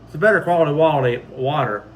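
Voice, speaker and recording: A man co-hosting a family vlog, telling stories in a casual, rambling and halting way. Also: a little high. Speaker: co-host of a family homestead channel. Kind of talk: casual rambling storytelling and chat. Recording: faint background noise.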